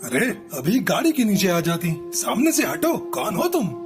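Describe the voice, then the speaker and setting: A man's voice: high voice, wildly swooping pitch, normal speed. A man voicing a wealthy, dignified bird boss in a cartoon, formal and commanding. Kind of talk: Hindi cartoon story dialogue. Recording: music behind the voice.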